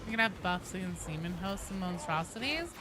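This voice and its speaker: A woman's voice: lowish voice, lively intonation, fairly quick, music in the background. A woman chatting casually and swearing freely while she live streams a game. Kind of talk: casual live gaming chat.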